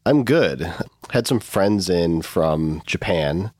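Deep-voiced Midwestern man hosting. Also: expressive, takes it slowly, darkish tone, no echo, studio quality. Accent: Midwestern American. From Michigan. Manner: joking, easygoing. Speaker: podcast host. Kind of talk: casual legal podcast chat.

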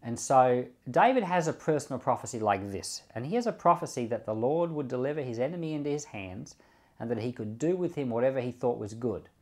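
A man's voice: medium pitch, lively intonation, a little fast. A pastor giving conversational bible commentary and closing in prayer, often repeating words as he thinks aloud, with the odd light chuckle.

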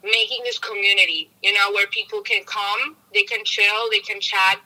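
A woman's voice: mid-range voice, fairly even intonation, normal speed, pushed and bright, rough recording. A woman from Poland with a Polish accent, a music producer guest in a casual interview, earnest and often searching for words.

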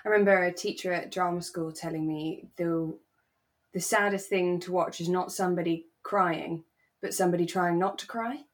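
A woman speaks in a voice slightly on the low side. She sounds like a podcast co-host in relaxed conversation, giving her thoughts informally. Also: normal speed. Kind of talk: relaxed chat reviewing a radio drama.

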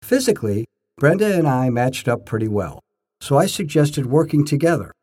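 An older man narrating his own scripted first-person stories in short, measured phrases with a storyteller's emphasis. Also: medium pitch, big pitch swings, darkish tone, slightly rough.

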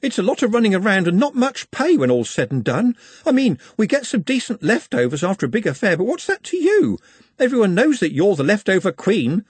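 A man's voice: high-pitched, very animated, a little fast, slightly rough, dry recording, voice pushed up, full character voice. A man voicing an anxious, chatty young maid who gets flustered, in a fantasy audiobook.

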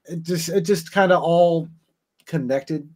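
A man's voice: on the high side, measured pace, darkish tone. A man appearing as a guest on a casual podcast, rambling and hesitant as he explains his theories. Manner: rambling and hesitant, many fillers and restarts. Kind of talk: casual discussion of game lore.

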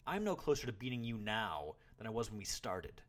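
A man playing a defiant, hot-headed boy, lively pitch. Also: brisk, gravelly, slightly rough, exaggerated voice. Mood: frustrated. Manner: indignant, argumentative. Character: defiant, hot-headed boy warrior trainee, frustrated and proud.

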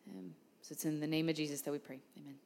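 Low-voiced woman leading prayer. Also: steady tone, rapid-fire, vocal fry, darkish tone, a little hiss or hum. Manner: many filler ums. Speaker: church leader hosting testimonies. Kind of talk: introductions and prayer.

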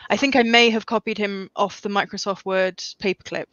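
A woman's voice: mid-range voice, steady tone, brisk, fairly bright tone, no echo. A young woman guest in a casual chat, self-deprecating and laughing, with many fillers. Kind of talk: casual video-call chat. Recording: dull dry lo-fi audio.

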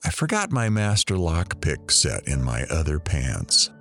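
Audio drama investigator, male actor: bass-low voice, dry sarcasm, unhurried and matter-of-fact. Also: huge pitch swings, gravelly, a bit bright, soft background music, full character voice. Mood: wry, matter-of-fact. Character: dry, sardonic male investigator searching rooms.

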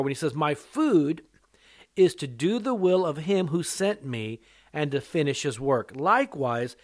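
A man's voice: no room sound, clean studio sound. An older man, born in Japan and raised in America, preaching an earnest sermon as an evangelist, his voice rising sharply when he stresses a point. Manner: earnest preaching that rises in pitch on emphasis. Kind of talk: sermon teaching with personal testimony.

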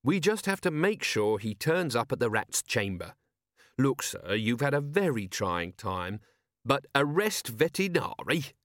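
A man's voice: mid-range voice, sing-song, measured pace, fairly bright tone, no echo, studio quality. Man playing an earnest, cheerful police captain. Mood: earnest, respectful. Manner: respectful, matter-of-fact. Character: earnest, cheerful, literal-minded young male police captain.

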